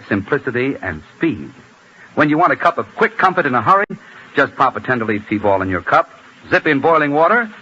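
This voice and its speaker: Bright, forceful 1940s male radio announcer. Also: mid-range voice. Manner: polished, emphatic sales delivery. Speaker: announcer reading an ad. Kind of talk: 1940s radio commercial read. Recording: dull, slightly noisy 1940s radio broadcast.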